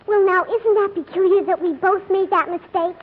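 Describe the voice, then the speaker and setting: Very high-voiced polite little girl. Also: steady tone, moderate pace, narrow, tinny audio. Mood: polite, earnest. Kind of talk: 1940s radio drama acting. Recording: thin, noisy old radio recording.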